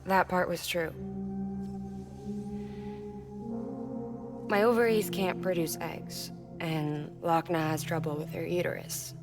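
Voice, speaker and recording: A woman playing an earnest, candid engineer in a video game cutscene, speaking in measured phrases with tenderness and quiet sorrow. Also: on the low side, fairly even intonation, music in the background, close to an everyday voice. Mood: confessional, wistful. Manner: measured, explanatory, short phrases with pauses.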